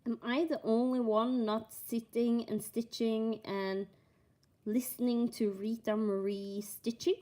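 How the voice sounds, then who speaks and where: Slow soft-spoken Norwegian woman. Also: on the high side, flat delivery. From Norway. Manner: slow and soft-spoken, reading chat aloud. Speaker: stitching livestream host. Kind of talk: casual livestream chat.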